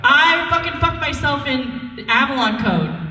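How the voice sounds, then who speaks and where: A woman's voice: sits high, expressive, measured pace, a loud crowd. A woman voice actor telling casual comic anecdotes on a live convention panel, bawdy, self-mocking and sweary. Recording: echoey hall, distant mic, background noise.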